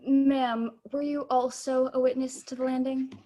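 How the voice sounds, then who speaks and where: An earnest on-scene news reporter acted by a young woman announces in a slightly high voice. The delivery is formal and dramatic, in the style of a comic radio play. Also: little pitch movement, a little slow, mellow. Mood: serious. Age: late teens. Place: home room, on a video call.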